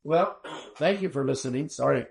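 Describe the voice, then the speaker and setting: A man delivers a recorded Bible lecture at a middle pitch, his voice gravelly with vocal fry. He sounds slightly hoarse. He teaches in a measured, emphatic way and poses rhetorical questions to his listeners. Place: small room, not a classroom.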